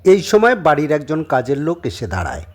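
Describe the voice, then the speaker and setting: A man narrating a scripted dramatic story, with a husky voice. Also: fairly high pitch, wildly swooping pitch, a little fast.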